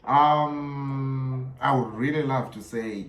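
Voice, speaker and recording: A man's voice: medium pitch, slow pace. A young man from Zimbabwe, a student guest telling stories in a casual interview, laughing often and talking animatedly with restarts. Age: young adult. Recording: echoey room.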